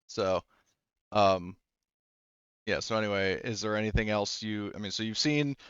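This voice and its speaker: Guy game mastering, rambly and explanatory, smooth lowish voice, kinda dull dry mic. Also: normal speed, a bit bright, no echo. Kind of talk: casual tabletop game narration.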